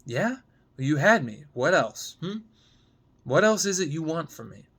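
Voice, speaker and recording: A man's voice: a little high, very animated, unhurried, fairly bright tone, husky, pitched above the performer's own voice, heavily acted. A man plays a young woman in a relationship drama, hurt and weary of her lover. She speaks in a curt, guarded way, keeping her distance. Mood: exasperated, challenging. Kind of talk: dramatic screenplay reading.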